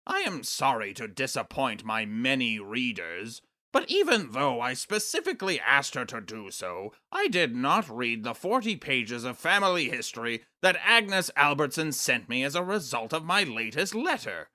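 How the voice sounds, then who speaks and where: A sinister aristocratic snake-man in an audio comedy monologue. The voice is forceful and bright, swinging widely in pitch around a middle register. The delivery is grandiose, haughty and melodramatic, savouring its own villainy. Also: sing-song, normal speed, few pauses. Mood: haughty, menacing. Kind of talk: theatrical scripted comedic monologue.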